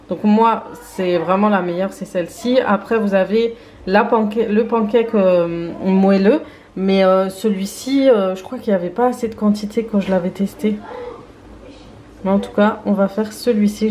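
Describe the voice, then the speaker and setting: A woman's voice: mid-range voice, moderate pace, dark tone, echoey room, a little hiss or hum. A tired woman chatting casually in her home kitchen, hesitant, with many fillers. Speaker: vlogger. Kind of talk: casual vlog chat.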